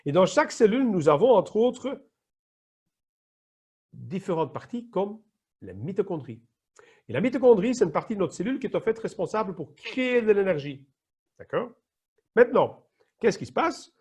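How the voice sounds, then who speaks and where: A man's voice: sits high, sing-song, normal speed, long pauses, a little mellow, husky. A middle-aged Flemish man, an emergency nurse, explaining health topics in a training session, sometimes searching for his words. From Flanders, Belgium. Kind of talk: online training presentation.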